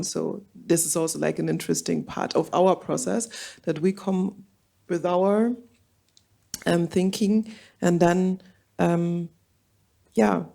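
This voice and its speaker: A woman's voice: lowish voice, gravelly, warm tone. A woman from Germany speaking on a symposium panel, hesitant and searching for words, with many fillers. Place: auditorium.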